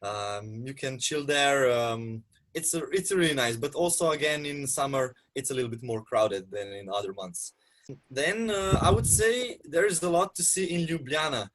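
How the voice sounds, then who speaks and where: A young man from Slovenia chatting casually as an interview guest, relaxed and full of ums and soft laughs. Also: mid-range voice, normal speed. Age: young adult.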